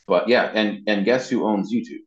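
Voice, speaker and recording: A man's voice: on the low side, fairly even intonation, normal speed, roomy sound, lo-fi sound. A man giving casual, explanatory answers as an interview guest, stressing his points by repeating them. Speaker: interview guest, web marketing consultant and voice actor.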